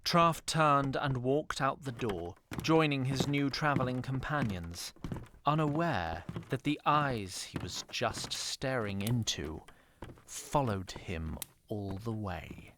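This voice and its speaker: Male fantasy narrator over loud water ambience. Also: mid-range voice, expressive, slow, noisy background. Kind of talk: scripted fantasy audio drama narration.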